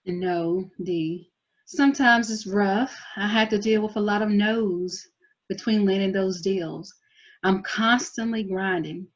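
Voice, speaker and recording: A woman's voice: mid-range voice, takes it slowly. An actress reading a proud, driven twenties woman, blunt, slangy and defensive, in a TV drama table read. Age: young adult. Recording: dull lo-fi recording.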